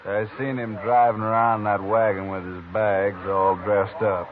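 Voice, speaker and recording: A man's voice: on the low side, flat delivery, measured pace, lightly acted. An actor playing an angry, vengeful townsman in a 1950s radio western, blunt and combative. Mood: angry, menacing. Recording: noisy, tinny 1950s radio recording.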